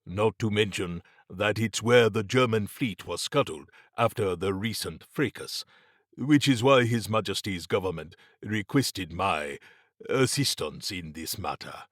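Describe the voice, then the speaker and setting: Male narrator as erudite gentleman occultist, low voice, measured, wry, faintly pompous, audiobook, close dry sound. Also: expressive, dry recording. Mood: guarded, uneasy. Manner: formal, deliberate, understated. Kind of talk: audiobook character acting.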